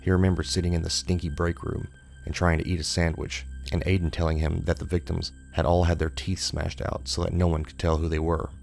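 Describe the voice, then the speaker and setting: A man's voice: sits low, level tone, brisk, vocal fry, few pauses, darkish tone. A man narrating a scripted horror short story as an audiobook.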